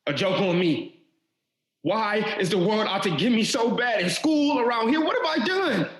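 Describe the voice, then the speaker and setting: An actor playing an earnest, vulnerable teenage schoolboy in an audio drama, tending to stammer. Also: male voice, high-pitched, expressive, moderate pace. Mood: angry, anguished. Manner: earnest, stammering when pressed. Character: hurt, pleading teenage schoolboy, earnest younger brother. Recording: roomy, echoing sound.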